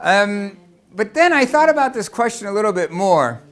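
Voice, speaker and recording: High-voiced American man giving a talk. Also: very animated, moderate pace, a bit bright. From Los Angeles, United States. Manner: rhetorical questions, conversational and explanatory. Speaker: lecturer. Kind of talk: presentation talk to an audience.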